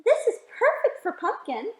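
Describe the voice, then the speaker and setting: A woman's voice: very high voice, sing-song, normal speed, a little mellow, reverberant, voice pushed up. A woman voicing an eager, curious young penguin, a kindly big brother in a picture book. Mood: delighted.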